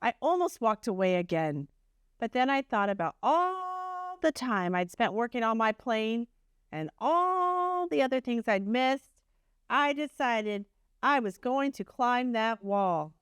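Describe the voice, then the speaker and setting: A woman's voice: a little high, sing-song, unhurried, clear, resonant tone, dry recording, studio quality, pitched above the performer's own voice. A woman voicing a wistful, anxious but determined egg, telling its picture book story earnestly. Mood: nervous, determined. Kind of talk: first-person picture book narration.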